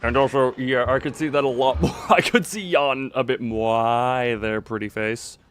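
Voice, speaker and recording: Man rambling casually over background noise. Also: medium pitch. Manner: rambling, halting, thinking aloud. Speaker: livestream host. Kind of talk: casual chat while playing a horror game live.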